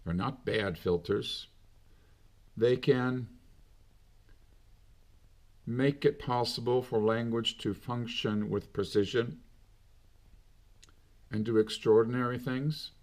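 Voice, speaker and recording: A middle-aged man delivering a slow, deliberate monologue with long pauses between phrases. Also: medium pitch, a little mellow. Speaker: commentator. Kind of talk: unscripted monologue.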